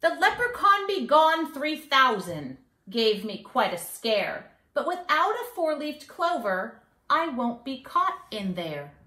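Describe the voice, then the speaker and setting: Woman as a high, naughty little leprechaun trickster. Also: very animated, slow pace, bright, forceful tone, roomy sound, heavily acted. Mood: defiant. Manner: taunting, theatrical, singsong rhymes. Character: naughty, boastful two-hundred-year-old little male leprechaun trickster. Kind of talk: storybook read-aloud. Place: living room at home.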